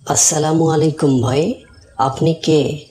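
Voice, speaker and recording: A woman puts on a sympathetic village man for a moral cartoon tale. The voice is lowish and takes its time, sounding polite and sincere. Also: steady tone, takes it slowly, dark tone. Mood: polite, pleading. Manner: respectful, earnest. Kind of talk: cartoon moral story dialogue.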